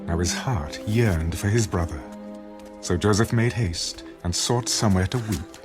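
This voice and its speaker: A man narrating a dramatized audio Bible in a solemn, measured storytelling style. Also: low voice, over music.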